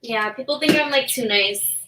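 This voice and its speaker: A woman's voice: on the high side, normal speed, noisy background. A woman co-hosting a podcast, chatting casually in a rambling, foul-mouthed way. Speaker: podcast co-host. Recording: echoey room, distant from mic.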